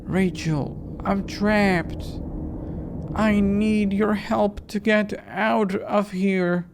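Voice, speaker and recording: A performer voicing a ghostly young lost sister in a horror game, with a weak, frail voice and slow, drawn-out pleading. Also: mid-range voice, steady tone, gravelly, slight background noise, pitched above the performer's own voice.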